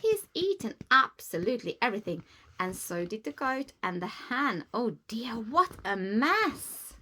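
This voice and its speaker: Expressive woman reading a children's story, lively pitch. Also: measured pace, slight background noise. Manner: expressive, explaining to young listeners. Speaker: teacher reading a storybook aloud.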